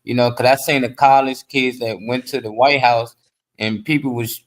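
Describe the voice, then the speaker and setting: Conversational man with mid-pitched voice. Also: steady tone, moderate pace. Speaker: talk show panelist. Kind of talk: casual opinion talk.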